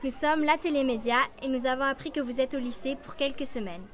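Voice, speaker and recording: A woman's voice: high-pitched, a little fast. Young woman asking polite questions. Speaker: student interviewer. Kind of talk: reading prepared interview questions.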